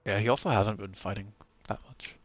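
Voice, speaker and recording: A man from Israel casting a live esports match, calm and dry in his play-by-play. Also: on the low side, brisk, no room sound, lo-fi sound. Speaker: esports caster. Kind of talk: live game commentary.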